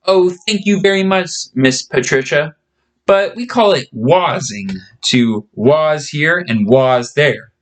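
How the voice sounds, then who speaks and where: A man's voice: on the high side, lively intonation, takes it slowly, voice pushed up. Casting: male reader as very small boy wizard in a storybook, earnest, anxious, formally courteous. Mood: polite, eager. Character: tiny young Japanese boy wizard, polite, earnest, anxious.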